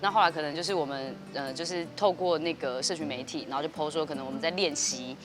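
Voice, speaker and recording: A Taiwanese woman in her thirties explains things quickly and fluently in a notably low voice. Music plays clearly under her in a TV studio. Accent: Taiwanese Mandarin. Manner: fluent, measured explaining with fillers. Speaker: guest, dance company artistic director. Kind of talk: interview answer. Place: indoor TV studio set.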